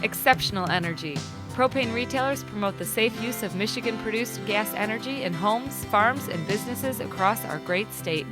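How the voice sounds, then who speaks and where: A woman announcing the sponsors of a television show in a crisp, scripted read. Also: medium pitch.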